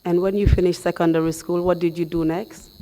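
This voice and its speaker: A young woman, her voice hoarse, questions a witness as counsel in a formal hearing. Her delivery is measured and polite, with very little rise and fall in pitch. She speaks into a headset mic in a studio. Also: little pitch movement, dark tone. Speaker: commission counsel.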